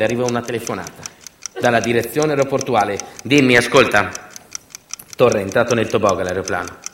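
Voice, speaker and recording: A man narrating a theatrical documentary monologue in an urgent, clipped, emphatic way. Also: fairly high pitch. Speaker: stage narrator and storyteller. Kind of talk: theatrical documentary monologue, acting out quoted dialogue. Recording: roomy, distant mic, faint noise.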